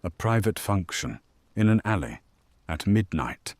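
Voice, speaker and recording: A man playing a shrewd detective inspector in a noir audio drama, husky, deliberate and probing. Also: deep voice, big pitch swings, no echo, full character voice. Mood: measured, probing.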